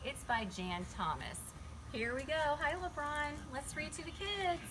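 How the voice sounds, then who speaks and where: A woman's voice: high-pitched, animated, unhurried, over music, poor audio. A woman reading a picture book to young children, chatting directly to them with questions and simple explanations. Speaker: children's read-aloud storyteller.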